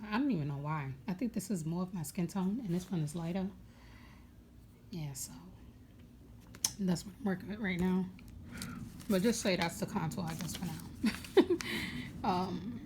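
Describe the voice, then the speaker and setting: A young woman with a raspy voice chatting casually at home, rambling with many fillers as she goes through her makeup bag. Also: fairly low pitch, darkish tone, slight background noise. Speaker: beauty vlogger.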